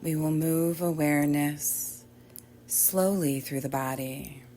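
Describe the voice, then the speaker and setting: A woman teaching yoga nidra, guiding relaxation in a gentle, soothing way with long pauses. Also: low-pitched, steady tone. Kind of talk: guided relaxation meditation. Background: soft background music.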